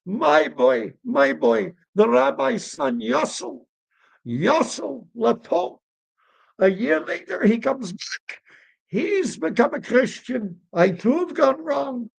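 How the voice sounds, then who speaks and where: Man putting on a very high, plaintive old rabbi. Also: very animated, slow, vocal fry, husky, heavily acted. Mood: wailing lament.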